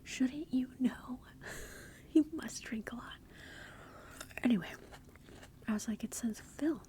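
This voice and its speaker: A woman's voice: fairly high pitch, unhurried. Whispering chatty woman telling a story. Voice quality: soft whisper. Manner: chatty, amused, rambling. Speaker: ASMR vlogger.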